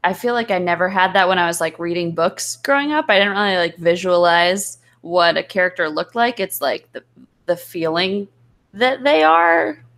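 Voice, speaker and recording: A woman's voice: fairly low pitch, normal speed, vocal fry, fairly bright tone. A woman guest chatting casually and a little hesitantly, thinking aloud as she explains. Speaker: voice actor, guest. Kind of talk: casual chat.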